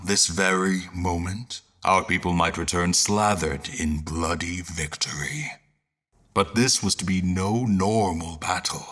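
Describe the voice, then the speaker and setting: An actor playing a grave war general, speaking in a solemn, deliberate and theatrical manner in a fantasy audio drama. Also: male voice, low voice, a bit bright. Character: grave male war general, devout seeker of a lost dream. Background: music.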